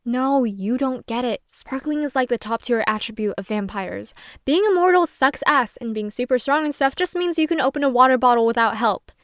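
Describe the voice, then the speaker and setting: A woman's voice: a little high, normal speed, fairly bright tone, no room sound, studio quality, close to an everyday voice. A young adult woman playing a sardonic, flustered, self-deprecating young woman who rambles and swears casually in an audio roleplay monologue. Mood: exasperated, joking.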